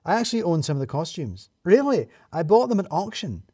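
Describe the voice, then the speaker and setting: A man voicing an excitable woman office colleague who gushes like a devoted fan in an audio story. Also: high-pitched, brisk, breathy edge, dry recording, studio quality, pitched above the performer's own voice, full character voice. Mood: gushing. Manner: gushing, excitable.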